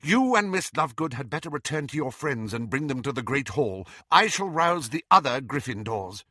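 A man voicing a stern, commanding older female professor in an audiobook, brisk and sharp in manner. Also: mid-range voice, sing-song, no echo, studio quality.

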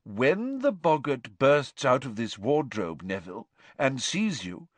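A man voicing a kindly, cheerful male professor in a gently theatrical, encouraging manner. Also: mid-range voice, sing-song, a little slow, dry recording, pitched above the performer's own voice. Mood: cheerful teacherly. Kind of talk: audiobook character voice.

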